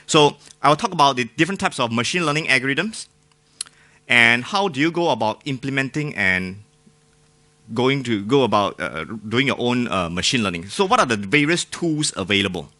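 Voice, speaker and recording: A man's voice: medium pitch, lively intonation, moderate pace, pushed and bright. A man with a Singaporean accent giving an informal, joking technical talk to a live audience, often putting questions to them. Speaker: conference speaker.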